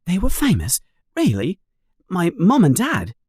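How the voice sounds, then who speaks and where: A man's voice: high-pitched, wildly swooping pitch, a little slow, a little mellow, husky, dry recording, clean studio sound, voice pushed up, heavily acted. Man doing a puzzled young boy. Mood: amazed.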